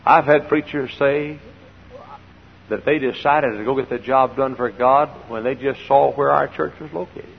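An older male pastor preaches in a gravelly, husky voice. He hollers, then drops to quiet storytelling, and he repeats his phrases to drive the point home. The old recording sounds dull, with long reverb in a church sanctuary. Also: medium pitch, normal speed. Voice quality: gravelly, froggy voice. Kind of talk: sermon.

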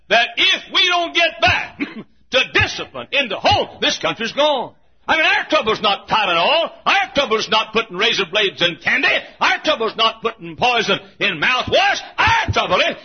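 An older male pastor preaches with fire, his husky voice riding high and swooping widely between quiet asides and shouted points. He chuckles through his lines. The recording is muffled and lo-fi. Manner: fiery preaching, swings from hushed to shouting. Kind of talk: sermon.

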